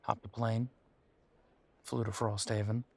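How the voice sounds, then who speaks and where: A man's voice: on the low side, flat delivery, a little slow, gravelly. A man playing a bitter, wounded werewolf confiding in his partner in an intimate audio roleplay, with a sardonic, hesitant, conversational delivery. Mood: sardonic, hurt.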